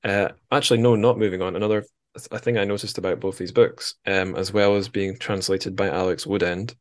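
A man's voice: low-pitched, steady tone, brisk, no room sound, studio quality. A man chatting casually as a podcast host, with a wry, dryly joking manner.

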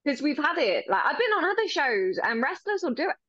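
Bubbly woman with a lively, bouncing voice. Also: sits high, fairly quick, no echo, dull audio. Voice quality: loud voice. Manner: bubbly, laughs often, animated retelling. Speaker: ring announcer. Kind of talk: casual interview chat.

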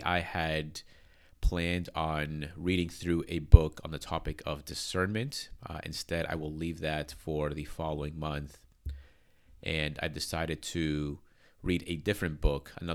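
A man speaking as a pastor on his church's podcast, congested from allergies, talking in an earnest, careful way with many fillers and restarts. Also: rumbling low.

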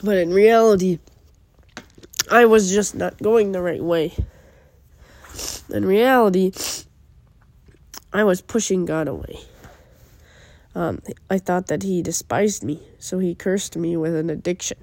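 A young woman with a stuffy cold, speaking in an earnest, halting way. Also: fairly low pitch, darkish tone, no room sound. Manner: earnest, halting, with fillers and restarts. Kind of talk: personal reflection and poetry reading.

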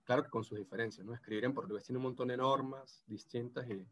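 A man's voice: medium pitch, steady tone, quick pace, fairly bright tone. A man in his thirties from Venezuela with an Andean gocho accent, a guest talking casually and hesitantly, with many restarts. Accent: Venezuelan Andean (gocho) Spanish. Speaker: interview guest. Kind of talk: casual video interview.

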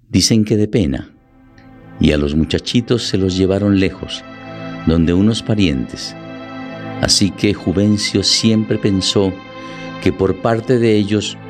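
Radio drama narrator: grave, dramatic storytelling, somewhat low voice over faint music. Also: male voice, darkish tone.

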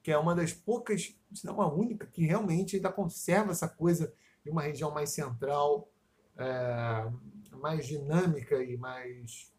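A man's voice: on the high side, moderate pace, darkish tone. A man in casual podcast chat, rambling, swearing freely, trailing off and restarting. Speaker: podcast co-host.

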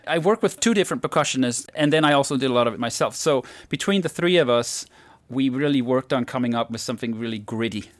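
A man, a composer, giving relaxed, detailed answers in an interview, with a deep voice. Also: fast, no echo.

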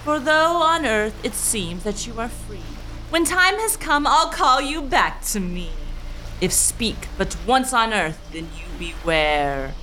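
A woman's voice: a little high, sing-song, slow pace, pushed and bright, slight background noise, full character voice, low-quality recording. A woman playing a commanding, menacing sea demon witch, declaiming verse theatrically in a gothic audio drama.

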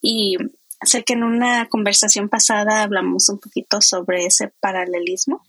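A woman's voice: medium pitch, flat delivery, normal speed, fairly bright tone, no echo. A woman hosting a podcast interview as a coach, speaking in a friendly way with frequent fillers.

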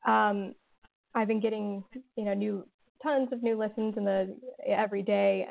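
A woman's voice: mid-range voice, creaky. A woman podcast creator chatting casually in an interview, peppering her talk with "like" and "um.". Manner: chatty, many "like" and "um" fillers, sarcastic humor. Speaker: podcast creator and writer, guest. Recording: tinny phone-like line.

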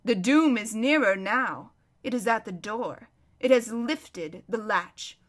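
A great, solemn lion from a storybook, voiced by a woman reader. He speaks with quiet, grave authority and clear, bright firmness, deliberate and merciful in his warnings. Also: on the high side, lively intonation. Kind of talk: storybook read-aloud.

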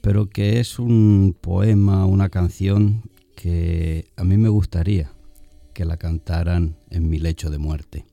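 Deep, very mellow-voiced Spanish priest. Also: male voice, fairly even intonation, slow pace, creaky, no echo. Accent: Castilian Spanish, from Spain. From Albacete, La Mancha, Spain. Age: 50s. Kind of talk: reflective conversational interview answers.

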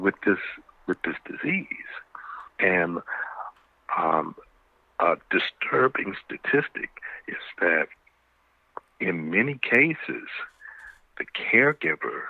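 A man giving measured, explanatory answers as a guest in a conversational interview. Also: low-pitched, dry recording. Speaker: stage play cast member, panel guest. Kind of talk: conversational, informative interview talk. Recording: muffled phone-like radio line.

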